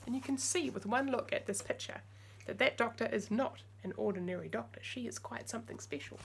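A woman's voice: a little high, brisk, gravelly, a little hiss or hum, rough recording. A woman in her forties reading a picture book aloud expressively, with chatty asides to young listeners. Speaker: storytime reader. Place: small room at home with bookshelves.

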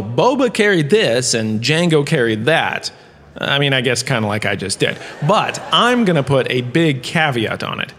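A man's voice: on the high side, fairly bright tone. A man delivering a theatrical comedic lecture as host, self-satisfied and wry, with dramatic phrasing and chatty asides. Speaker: host playing a university lecturer. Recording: echoing hall with long reverb.

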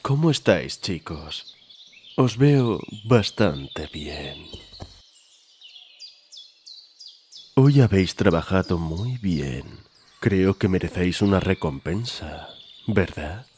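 A man's voice: low-pitched, animated, slow pace, a little mellow, slight background noise. A man with a Spain accent voicing a creepy, predatory gumball-machine boss in a parody cartoon, insinuating and unctuous. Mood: smarmy menace. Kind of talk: adult parody cartoon fandub.

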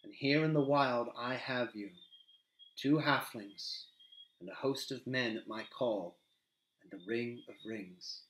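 A man voicing a grave, noble male captain of men who speaks slowly and softly. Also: medium pitch. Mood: stern. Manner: slow, soft, measured. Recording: roomy echo.

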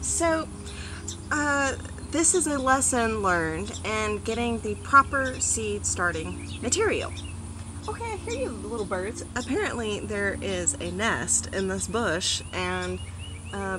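A woman in her thirties giving a casual gardening vlog outdoors on a front porch, chatty, with many ums and animated asides. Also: fairly high pitch, noisy background. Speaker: gardening vlogger. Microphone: phone or camera on a small tripod.

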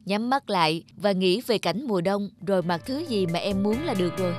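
A woman playing an elegant senior female designer in a cartoon, gently advising. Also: mid-range voice, lively intonation, fairly quick, over music, lightly acted. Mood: encouraging. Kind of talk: cartoon dubbing.

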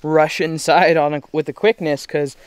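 A man in his thirties chatting casually to the camera while camping alone, relaxed and talkative. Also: a little high, normal speed, clean tone. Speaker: vlogger. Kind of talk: casual solo camping vlog. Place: inside a small truck camper.

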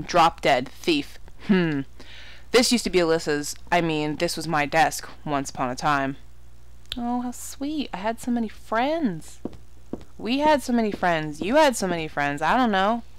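A woman's voice: fairly low pitch, expressive, unhurried, clear, resonant tone, no room sound. A woman giving casual horror game commentary, exclaiming, swearing and muttering asides to herself. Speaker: let's play gamer.

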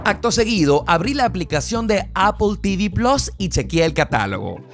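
A man's voice: high voice, big pitch swings, normal speed, faint music behind. A man narrating a scripted tech review in his vlog, with an enthusiastic storytelling manner. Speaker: tech vlogger.

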